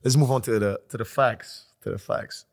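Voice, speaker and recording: A man's voice: mid-range voice, normal speed, vocal fry. A man from Greece hosting a casual podcast interview in a playful way, often repeating himself and using fillers. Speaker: podcast host.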